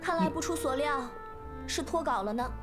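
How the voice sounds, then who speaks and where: An actress voicing an expressive young woman in an anime-style fantasy game. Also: sits high, normal speed, music in the background, lightly acted. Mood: lively. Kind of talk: Chinese anime game voice acting.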